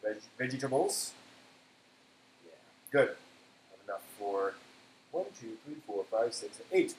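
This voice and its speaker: A man hosting a casual cooking live stream, thinking aloud in a halting way and often trailing off. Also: lowish voice, lively intonation, a little mellow. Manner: halting, self-talking, trailing off. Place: indoor kitchen. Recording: echoey room, background noise.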